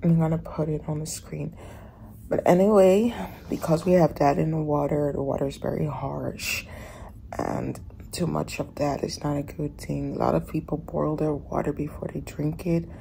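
A woman vlogging casually from a hotel room in the morning, her voice raspy and often dropping to a whisper. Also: low voice, fairly even intonation, moderate pace, slight background noise, low-quality recording. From Netherlands. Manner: soft, often whispering in the dark.

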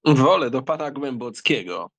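A man acting an arrogant, impetuous German count in an audiobook drama, with a bold, theatrical manner and a husky, gravelly voice. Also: medium pitch, lively intonation, normal speed, no echo, natural voice. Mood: cool, insolent.

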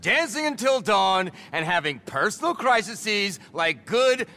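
An actor playing a vain, frazzled middle-aged nightclub impresario in a video game, theatrical and foul-mouthed. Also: male voice, high voice, big pitch swings, takes it slowly, bright, forceful tone, heavily acted. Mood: exasperated. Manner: flamboyant, theatrical, profane. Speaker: nightclub owner. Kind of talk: video game cutscene acting.

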